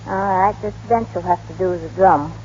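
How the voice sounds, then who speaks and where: A dull, faintly noisy old radio recording of an actress playing a young American woman. She is soft-hearted and wistful, a city woman with small-town roots. Her manner is gentle and probing, in the style of period radio drama. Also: lowish voice, a little fast, lightly acted. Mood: wistful, fond. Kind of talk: 1930s-40s radio drama acting.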